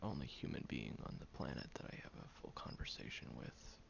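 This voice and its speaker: A man playing a prickly, guarded young loner who grudgingly cares, in an intimate one-sided audio roleplay, sardonic and hesitant with breathy murmurs. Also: a bass voice, very animated, fairly quick, heavily acted. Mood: reluctantly vulnerable. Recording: muffled, lo-fi, noticeable background hiss.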